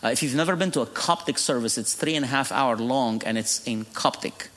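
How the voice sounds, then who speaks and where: Middle-aged Egyptian-accented man, native Arabic speaker, guest preacher telling his testimony with self-deprecating humor, mid-pitched voice carried by long church reverb. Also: a little fast, a bit bright. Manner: humorous storytelling, laughing at his own jokes. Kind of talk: sermon testimony.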